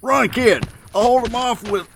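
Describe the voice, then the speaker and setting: An actor playing a gruff, defiant older general with a blustering, folksy manner in a sci-fi audio drama. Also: male voice, extremely high pitch, measured pace, fairly bright tone, slight background noise, exaggerated voice. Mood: defiant, shouting. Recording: music behind the voice.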